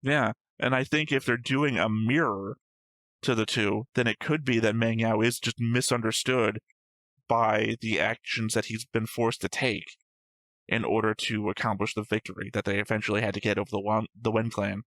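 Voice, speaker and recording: A man's voice: fairly low pitch, flat delivery, moderate pace, a bit bright, no room sound. A man co-hosting a reaction show, reasoning aloud in a casual, argumentative discussion of story theories. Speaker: co-host of an anime reaction show.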